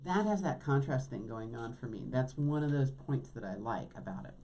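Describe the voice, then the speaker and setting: Deep-voiced woman chatting about craft. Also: lively intonation, normal speed, mellow, a little hiss or hum. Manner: chatty, self-assured, musing. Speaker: co-host. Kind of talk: casual chat, craft demonstration.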